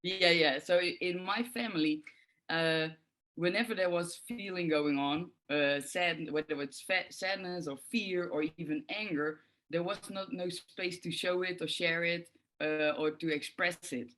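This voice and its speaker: A woman's voice: fairly low pitch, measured pace, a bit bright. A middle-aged woman from the Netherlands with a Dutch accent, speaking thoughtfully and with many filler sounds as a guest on forgiveness work. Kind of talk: reflective interview answers.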